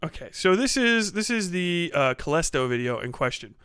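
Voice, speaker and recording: Male livestream reactor: clear, fairly high voice, dry close sound, casual, blunt, sweary. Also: very animated, normal speed, fairly bright tone, no echo. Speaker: livestream host.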